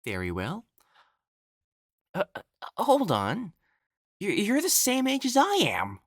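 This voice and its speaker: A man performs an elegant young male matchmaker from ancient China in an audio drama. He is pompous and quickly exasperated, with highly animated pitch and a measured, slow delivery. The phrasing is formal and old-fashioned, and the sound is close and dry. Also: no room sound, full character voice. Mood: astonished. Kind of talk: scripted audio roleplay acting.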